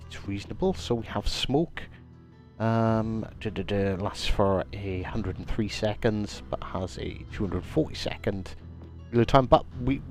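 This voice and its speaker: A man, a little congested from a cold, chatting casually as he streams a game and greets viewers by name. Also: lowish voice. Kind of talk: casual live-stream commentary.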